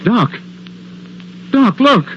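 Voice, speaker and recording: A man's voice: very high voice, very animated, extremely slow, heavily acted. An actor playing a frightened, reluctant small-town man, whining, hesitant and pleading, in 1940s radio drama style. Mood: awed alarm. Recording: muffled, noisy old radio recording.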